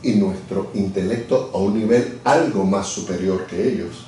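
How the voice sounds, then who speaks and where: A middle-aged man speaks in a mellow, darker tone as an interview guest. He explains his views reflectively and often restarts mid-phrase. His voice is heard from a distance in a very echoey office. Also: lowish voice, normal speed, a little hiss or hum. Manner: thoughtful, searching for words with many restarts. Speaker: interview guest, lawyer and communications director. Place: office, seated at a desk. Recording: echoey room from far off.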